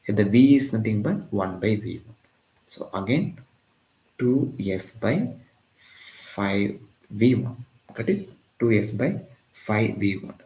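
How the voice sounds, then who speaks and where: A lowish-voiced man with an Indian English accent, a physics tutor from Tamil Nadu, talks through a live-streamed exam-prep lesson. He repeats key phrases and checks in with his students constantly. The sound bounces around an echoey room. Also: expressive, takes it slowly, long pauses, dark tone. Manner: repetitive, keeps checking in with "got it?".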